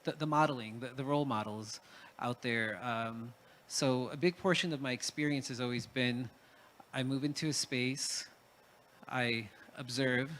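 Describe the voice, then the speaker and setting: A man's voice: mid-range voice, a little slow, fairly bright tone, a little hiss or hum. A man from Peru speaking as a panelist in a reflective talk, hesitant, with many fillers and restarts.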